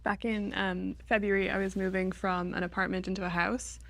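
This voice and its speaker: Woman, mid-pitched voice, wry chuckly story in a class chat. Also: flat delivery, fairly quick, gravelly, a bit bright. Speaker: class participant. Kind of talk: casual personal sharing.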